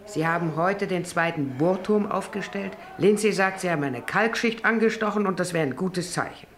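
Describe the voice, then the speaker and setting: A woman's voice: lowish voice, normal speed, close to an everyday voice. An actress playing a weary, placating middle-aged wife and worried mother in a radio drama. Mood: soothing, weary. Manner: placating, restrained. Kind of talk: German radio drama acting. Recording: hissy old radio drama recording.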